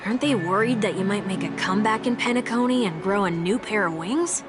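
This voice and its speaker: An actress playing a quick-witted young woman trailblazer in a video game, talking in a wry, questioning way over loud game music. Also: medium pitch, lightly acted. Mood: curious. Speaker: player character. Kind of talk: game voice acting.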